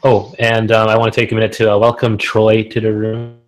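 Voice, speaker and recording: A man's voice: fairly low pitch, monotone, brisk, low-quality recording. A Canadian man in casual group chat on a video call, rambling with many fillers.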